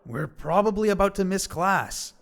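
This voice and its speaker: An animated, fairly high-pitched teenage lynx boy played by a man, tough and defensive in an audio drama. He is brash, irritable and quick to brush things off, with a caring streak underneath. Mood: apologetic, uneasy.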